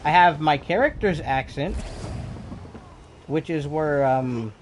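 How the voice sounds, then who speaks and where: A man's voice: a little high, expressive, takes it slowly. North carolina guy gaming on stream, southern accent, kinda swallows his word endings, noisy mic. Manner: chatty, reacts to the game while reading chat aloud; clips the ends of words, soft delivery. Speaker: game streamer. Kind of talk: casual livestream chat over gameplay.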